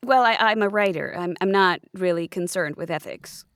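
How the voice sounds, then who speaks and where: A woman's voice: on the low side, moderate pace, a bit bright, no echo, clean studio sound. A woman playing an eccentric investigative writer in a comic roleplay, earnest, hesitant and stammering. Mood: sheepish, flustered.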